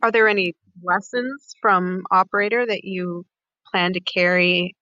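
A woman's voice: lowish voice, measured pace, pushed and bright, dry recording. A woman co-hosting a podcast, putting questions to a guest in a conversational way, on slightly lo-fi audio. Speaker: podcast co-host, interviewer. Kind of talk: conversational interviewing.

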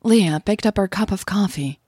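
Soft breathy lady telling a story on her podcast, husky, really dry sound. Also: mid-range voice, normal speed, no room sound. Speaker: podcast host and narrator. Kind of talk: scripted story narration and listener thanks.

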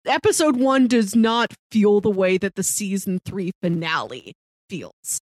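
A woman's voice: mid-range voice, animated, a little slow, dry recording, low-quality recording. Dry-sounding woman in casual review chat. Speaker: podcast co-host.

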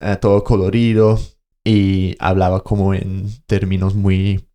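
Guy cohosting a podcast, low warm voice, keeps stopping to hunt for words. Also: flat delivery, a little slow. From Colombia. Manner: hesitant, searches for words, restarts. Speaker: podcast co-host. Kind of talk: casual conversational storytelling.

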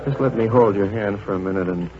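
An actor playing a tender young army officer in love, in a 1940s radio drama style. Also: male voice, lowish voice, quick pace, lightly acted. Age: young adult. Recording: dull old radio recording with loud music. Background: music.

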